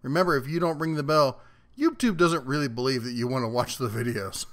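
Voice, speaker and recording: A man's voice: mid-range voice, a little fast, fairly bright tone. A man hosting a tool review channel, giving a casual, folksy and jokey rundown of a new product as a voice-over.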